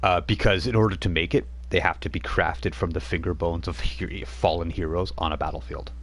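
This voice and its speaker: A man with a very low voice narrates as game master of a tabletop game. He talks in a relaxed, halting way with plenty of ums and slows right down for dramatic moments. A faint hiss sits under the recording.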